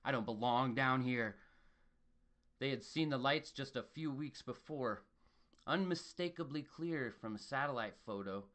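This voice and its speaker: A man's voice: medium pitch, a little slow, fairly bright tone. A man giving a dramatic scripted narration of a horror story.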